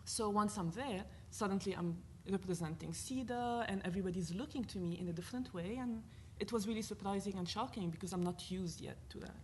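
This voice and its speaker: Lebanese-accented woman, mid-pitched, earnest panelist who thinks aloud with fillers and a faint hiss behind her. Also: brisk, hardly any pauses, a little mellow. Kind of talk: panel discussion talk. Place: university panel event.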